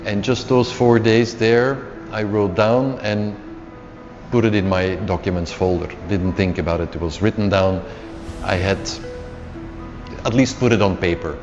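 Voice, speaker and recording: Dutch-born man telling his story. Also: on the low side. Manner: unhurried, repeats words while searching. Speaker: interview guest, author. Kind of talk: casual interview storytelling. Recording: dull lo-fi sound with long reverb and faint music.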